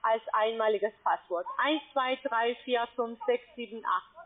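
High-voiced woman on a tinny phone line. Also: unhurried. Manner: instructing step by step, broken grammar. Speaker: scam call center agent. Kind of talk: phone call.

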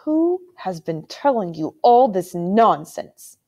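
A harsh, commanding storybook king who booms with sudden force and lingers on his words, as a woman reader puts him on. He is cold and menacing toward a child. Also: big pitch swings, takes it slowly, darkish tone, exaggerated voice. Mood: stern. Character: stern cold male king, menacing uncle.

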